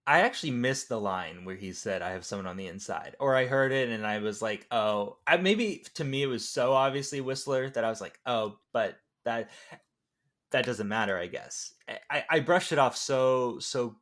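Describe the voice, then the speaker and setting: Mid-pitched man in casual film chat. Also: lively intonation, normal speed, a bit bright. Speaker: film podcast panelist.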